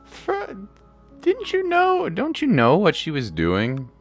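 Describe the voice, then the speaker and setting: A man's voice: high-pitched, wildly swooping pitch, slow. A man casually reacting to a video game as he streams, informal and sympathetic, with chatty asides. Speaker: gaming streamer. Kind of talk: casual reactions while playing. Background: game music.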